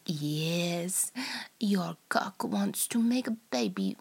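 A woman voicing a seductive, threatening Russian female spy in an erotic audio roleplay, with a Russian accent and a breathy, often whispered delivery. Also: lowish voice, expressive, full character voice. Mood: coaxing, sultry. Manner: slow, teasing, domineering.

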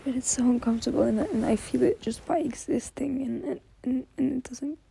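Young adult woman, high, creaky, whispery voice; tearful, stammering, apologetic intimate delivery to a partner. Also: warm tone. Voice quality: breathy, whispery voice. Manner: halting, apologetic, stammering repetitions. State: anxious, tearful, close to crying. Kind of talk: intimate whispered monologue, audio roleplay.

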